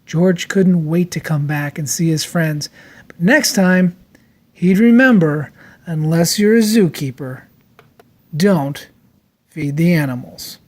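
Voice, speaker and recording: Children's storybook narrator, medium pitch, expressive delivery, dramatic read-aloud style. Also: slow pace. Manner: expressive, acts out character lines.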